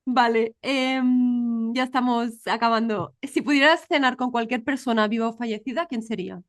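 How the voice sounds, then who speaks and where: A woman hosts a casual interview. Her voice sits a little high, and she puts her questions in an informal, conversational way. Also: fairly even intonation, normal speed. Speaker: podcast host, interviewer.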